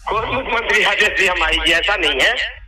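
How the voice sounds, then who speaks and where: A man's voice: high-pitched, brisk, over a noisy crowd. A man pleading politely and repetitively on a phone call, as a committee chairman negotiating. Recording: distant, radio-like phone call recording.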